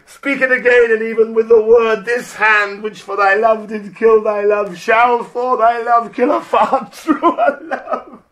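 A shakespeare villain nobleman wooing, high voice, slow and declamatory, insinuating. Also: steady tone, a bit bright. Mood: frenzied, pleading. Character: scheming nobleman, silver-tongued, fervently wooing a widow. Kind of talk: classical Shakespearean stage-style verse acting.

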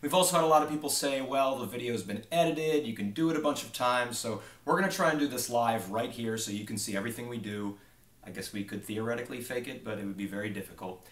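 A man's voice: medium pitch, fast, fairly bright tone. A young man in his twenties, a game developer, casually walking viewers through a demo at his desk with an easygoing, explanatory manner and plenty of filler words. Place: small home office with a desk and monitor.

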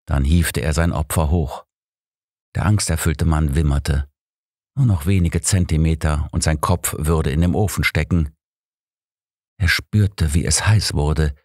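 Male audiobook narrator, low voice, dry recording, crime fiction. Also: measured pace, a little mellow, dry recording. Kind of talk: scripted narration of a crime story.

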